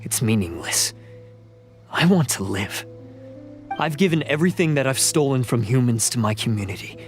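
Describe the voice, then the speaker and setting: A man's voice: medium pitch, expressive, normal speed, soft background music, close to an everyday voice. A man voicing a bitter, defiant young oni outcast turned thief in a video game, scornful and intense. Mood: anguished, defiant.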